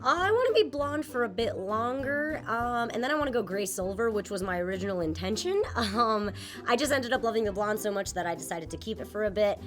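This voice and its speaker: A woman's voice: a little high, expressive, fairly quick, faint music behind. A young woman from Japan, a native Japanese speaker, chatting upbeat in English as she answers viewer questions in a casual vlog. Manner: chatty, upbeat, with small laughs and fillers.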